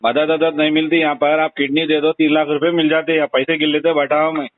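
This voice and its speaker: A man with a Hyderabadi accent speaks in a fairly high voice. He is blunt and insistent in a casual, conversational way. The sound is a narrow, dry telephone recording with very little room in it. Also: flat delivery, quick pace, dry recording. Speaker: phone call recipient. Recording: tinny narrowband phone call recording.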